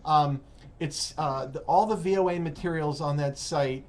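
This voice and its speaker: A man with an American accent hosting a casual interview, explaining in a halting way and rephrasing as he goes. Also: fairly high pitch, a little mellow. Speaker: host of an English-learning call-in program. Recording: noticeable background noise.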